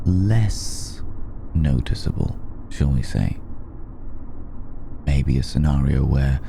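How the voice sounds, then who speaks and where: A man playing an elegant, centuries-old vampire in an ASMR roleplay, speaking with measured, darkly polite menace and weary hesitation. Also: noisy background, full character voice. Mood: calmly menacing. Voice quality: very deep, gravelly, dark. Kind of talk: slow intimate ASMR roleplay addressed to listener.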